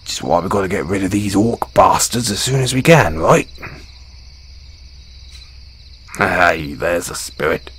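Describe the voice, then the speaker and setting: Man playing deep, folksy dwarven blacksmith. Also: animated, normal speed, a bit bright, a little hiss or hum. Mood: modest, resolute. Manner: folksy, chuckling, hesitant storyteller. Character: kind, modest, battle-scarred dwarven blacksmith, hearty adventurer. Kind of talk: fantasy audio roleplay, spoken to a companion.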